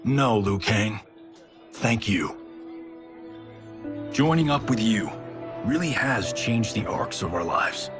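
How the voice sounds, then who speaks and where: An actor playing a cocky, upbeat Hollywood action star turned director in a video game, breezy, slangy and wisecracking. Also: male voice, on the low side, lively intonation, slow, pauses often, over music. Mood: sincere. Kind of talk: cinematic game cutscene acting.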